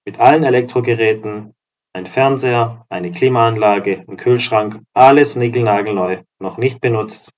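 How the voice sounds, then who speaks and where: A slightly hoarse man giving an upbeat, promotional walkthrough of apartments as a real estate agent and vlogger. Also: on the low side, a little mellow, muffled recording. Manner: upbeat, promotional, walks through rooms while talking. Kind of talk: property tour narration. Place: apartment complex in a seaside town, indoors and outdoors.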